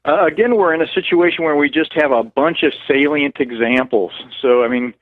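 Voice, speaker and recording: A man's voice: a little high, no echo. Man on a tinny phone line. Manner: measured, hedging, explanatory. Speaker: cannabis researcher guest. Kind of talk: phone-in expert interview. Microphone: telephone.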